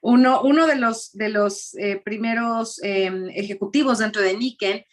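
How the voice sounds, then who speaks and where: A woman interprets on a video call. She passes the speaker's lines along quickly, with small restarts and an easy manner. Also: mid-range voice. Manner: quick relaying, small restarts, laughs easily. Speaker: interpreter and host. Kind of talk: live interpreting.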